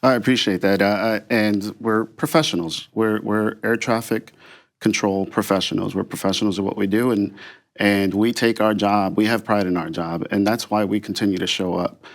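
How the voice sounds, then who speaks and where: A man speaking as an air traffic controller and union official, giving halting, earnest, unscripted answers in an interview. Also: lowish voice, steady tone.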